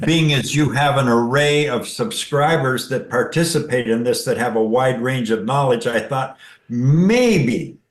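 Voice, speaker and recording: A man's voice: mid-range voice, measured pace, reverberant. A man calling in to ask a question, wry and chuckling as he talks. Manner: wry, laughs while asking. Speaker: caller asking a question. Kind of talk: casual chat.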